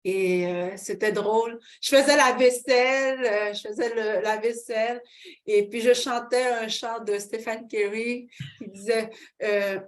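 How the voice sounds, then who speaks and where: A woman's voice: fairly high pitch, a little slow. A middle-aged woman, giving a fervent personal faith testimony as an interview guest, with many fillers. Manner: fervent, expressive, with frequent fillers and laughs.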